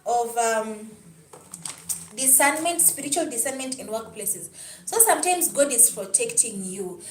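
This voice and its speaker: A woman's voice: high voice, recorded from a distance, slight background noise. Chatty Kenyan woman in echoey room. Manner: chatty, answers chat comments, storytelling. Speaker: livestream host. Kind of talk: casual livestream talk with viewers.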